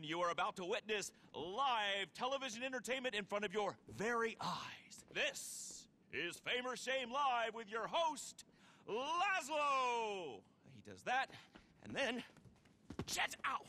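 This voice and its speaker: An actor playing a needy, self-important washed-up TV host in a video game, showy and whining. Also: male voice, extremely high pitch, very animated, slow pace, bright, forceful tone, heavily acted. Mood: showy announcing. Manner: whiny, showy, pleading. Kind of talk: video game cutscene acting.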